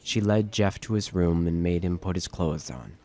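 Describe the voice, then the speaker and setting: Deep-voiced man narrating horror. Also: moderate pace, warm tone. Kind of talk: scripted horror story narration. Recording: music bed under narration.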